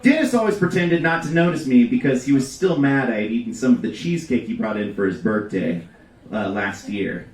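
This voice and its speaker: Man, live comedic storytelling, deadpan and deliberate, medium pitch, echoey room, distant mic. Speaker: reader at a live storytelling show. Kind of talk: comedic storytelling read aloud to a live audience. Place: live storytelling venue with audience.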